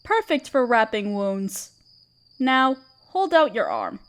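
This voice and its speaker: A woman playing a regal, tender spider queen in an audio roleplay, speaking in a gentle, soothing and slightly formal way. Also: a little high, huge pitch swings, takes it slowly, heavily acted. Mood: motherly, soothing. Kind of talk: scripted roleplay audio drama. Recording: crickets chirping behind the voice. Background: crickets and night insects.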